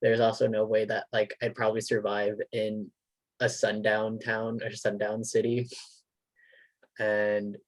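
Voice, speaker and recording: A man's voice: on the low side, completely flat delivery, measured pace, no room sound, low-quality recording. A young man hosting a casual conversation, thoughtful and loosely phrased with filler words. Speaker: host.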